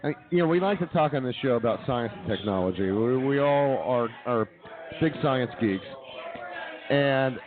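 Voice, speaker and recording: A man's voice: medium pitch, animated, moderate pace, over music. A man hosting live comedy talk radio in a brash, informal way, with tinny sound and a busy crowd behind him. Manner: brash, informal, mildly profane. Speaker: radio show host. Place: live broadcast from a busy brewery. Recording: phone-like sound, loud bar crowd behind.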